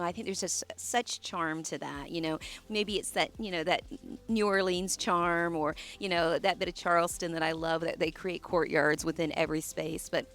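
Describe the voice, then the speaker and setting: A woman in her forties, hoarse from a cold, chatting casually as she leads a tour of her garden outdoors. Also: on the low side. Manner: chatty, warm asides with fillers and restarts. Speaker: landscape designer and channel host. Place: outdoors in her own garden side yard. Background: soft music and running water.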